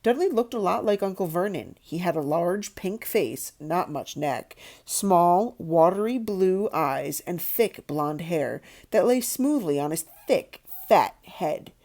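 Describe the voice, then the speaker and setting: Mellow, low-voiced woman reading a story. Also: expressive, slow pace, hardly any pauses. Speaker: audiobook reader. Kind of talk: read-aloud storytelling narration.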